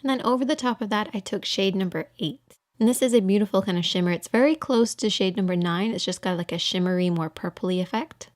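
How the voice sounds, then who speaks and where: A woman's voice: mid-range voice, fast, few pauses. A woman giving an informal voice-over for a makeup tutorial, explaining things step by step.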